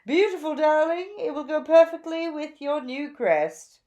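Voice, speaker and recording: A woman voicing a vain, bossy, flamboyant female bird in a children's storybook, theatrical and gushing with drawn-out emphatic words. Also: high voice, lively intonation, dark tone, voice pushed up.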